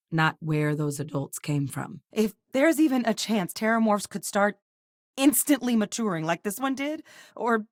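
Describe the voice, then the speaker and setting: Actress as earnest woman scientist, low voice, measured and articulate, serious, naturalistic video game dialogue, dry close recording. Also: dry recording, close to an everyday voice. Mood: calm, sincere, then urgent, challenging.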